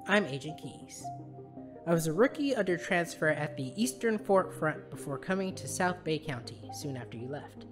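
Earnest male agent for pony audio drama, played by a man. Courteous, formal, very lively intonation over clear music. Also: fairly high pitch, normal speed. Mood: polite.

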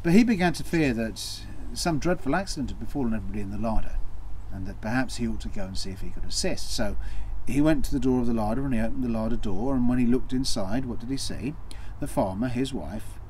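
A middle-aged man telling a tale theatrically, with dramatic, deliberate pauses and repetition. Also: medium pitch, expressive, darkish tone, slight background noise, rough recording. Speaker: costumed storyteller. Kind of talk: theatrical oral storytelling. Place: small draped indoor set.

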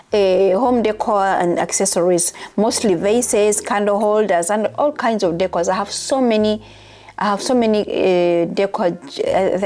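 A woman's voice: medium pitch, darkish tone. A young woman from Uganda vlogging in a casual chat to camera, chatty and repeating herself for emphasis.

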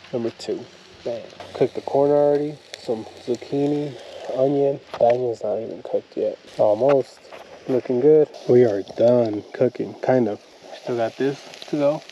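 A man in his thirties casually narrating a camping trip in a forest campground, explaining each step as he goes, recorded on an action camera outdoors. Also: medium pitch. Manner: relaxed, chatty, talks through each step. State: hushed early-morning talk. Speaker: moto camping vlogger. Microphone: action camera, chest-mounted or handheld. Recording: camera mic with outdoor noise.

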